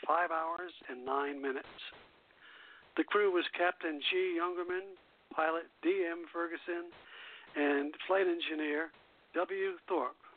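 A man co-hosting a nostalgic radio show, reading scripted narration from notes with a husky voice. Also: fairly high pitch. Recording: narrow, tinny phone-like line.

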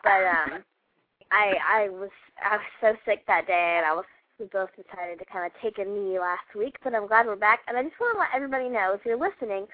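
A woman's voice: medium pitch, a little fast, dry recording. A woman chatting casually as co-host of a live radio show. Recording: phone-like, dry, narrow audio.

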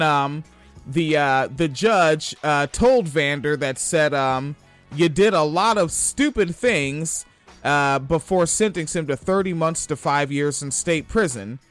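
A young man from Washington State with a high-pitched voice, hosting a podcast and reading news stories aloud with rambling, profane commentary and frequent laughs. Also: fairly bright tone. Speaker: podcast host.

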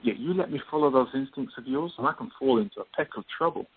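A man's voice: mid-range voice, expressive, fast, breathy edge. A man playing a teasing, wry playwright friend in a radio play, with a playful, theatrical manner. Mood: self-mocking. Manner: playful, self-mocking, theatrical. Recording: tinny phone-like sound with faint noise.

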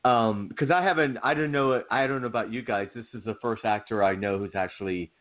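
A man's voice: medium pitch, fairly quick. A man co-hosting a casual talk show, chatty, asking long rambling questions. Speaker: co-host. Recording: tinny phone line.

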